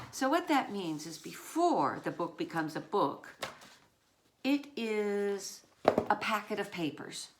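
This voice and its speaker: A woman who writes children's books, reading aloud and chatting in a storytime style, with deliberate, emphatic phrasing. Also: lowish voice, noisy background. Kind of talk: storytime read-aloud with informal asides.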